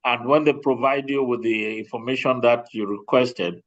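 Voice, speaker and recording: A man's voice: mid-range voice, steady tone, normal speed. A man speaking as an insurance representative on a group call, explaining informally and often repeating himself as he goes. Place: remote online group call. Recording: dull, lo-fi call audio.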